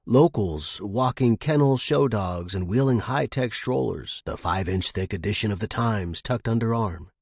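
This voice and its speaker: A man reading pulp fiction as an expressive, dramatic scripted narration for a podcast. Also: lowish voice, measured pace, hardly any pauses, breathy edge, no echo, studio quality.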